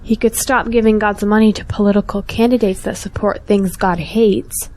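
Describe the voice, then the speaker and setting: A woman's voice: mid-range voice, steady tone, moderate pace, darkish tone, close to an everyday voice. Earnest young woman, devout, troubled conscience, insistent, audio drama dialogue, acted by a woman. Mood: reproachful, insistent.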